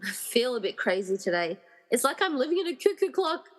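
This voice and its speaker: A woman's voice: on the high side, sing-song, brisk, big-room sound. A woman playing an anxious but determined hero in a radio play, earnest and wryly muttering. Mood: worried, pleading. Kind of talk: amateur radio-play acting.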